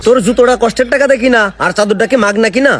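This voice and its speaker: A blunt young male friend played by a man talks extremely fast in a very high voice, mocking and challenging. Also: steady tone. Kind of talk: comedy skit acting. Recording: music clearly behind the voice.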